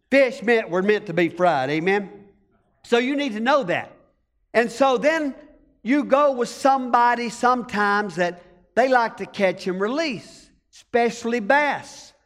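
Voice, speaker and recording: A man's voice: very high-pitched, fairly bright tone, in a large hall. A male pastor with an American country accent, preaching a folksy sermon and calling on the congregation to answer back. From rural United States. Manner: folksy, storytelling preacher asking the congregation to respond.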